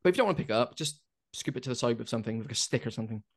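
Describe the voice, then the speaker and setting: A middle-aged man who talks extremely fast at a mid-range pitch. He is an opinionated podcast co-host in casual chat, often doubling back to repeat his words. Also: animated, gravelly.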